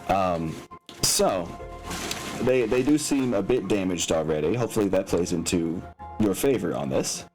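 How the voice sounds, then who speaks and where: Low-voiced man running a tabletop game. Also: expressive, normal speed, a little mellow. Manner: casual, hesitant, thinking aloud. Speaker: game master. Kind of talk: narrating a tabletop mech game. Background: background music.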